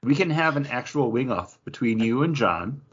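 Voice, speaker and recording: A man's voice: medium pitch, animated, moderate pace, a bit bright, slightly rough. A man chatting casually as a podcast co-host. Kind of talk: casual chat. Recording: dull lo-fi podcast audio.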